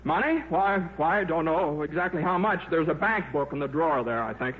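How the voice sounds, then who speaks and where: An actor playing a shy, absent-minded but stubborn scientist and gentle father in a radio drama, hesitant and repeating himself. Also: a little high, animated, brisk, natural voice. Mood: rambling, agitated. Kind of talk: 1950s American radio drama acting. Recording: muffled, noisy old radio broadcast.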